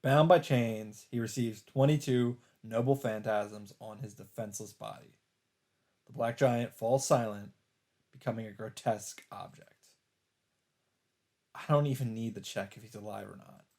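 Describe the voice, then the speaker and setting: A man doing a let's play, reading a visual novel aloud as dramatic narration in halting phrases, with some off-the-cuff reactions. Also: fairly low pitch, a little mellow. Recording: music behind the voice. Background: game soundtrack music.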